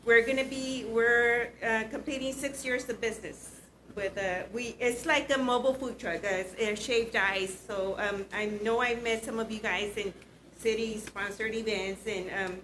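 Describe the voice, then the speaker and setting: A woman who owns a small business, speaking informally at a city council meeting and pausing often with filler words. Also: on the high side, steady tone, background noise. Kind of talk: public comment at a council meeting. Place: city commission chamber.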